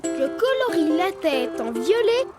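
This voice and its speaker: A woman voicing a friendly little cartoon creature who gently explains each drawing step. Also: very high voice, very animated, measured pace, full character voice. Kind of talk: children's cartoon acting. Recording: loud music behind.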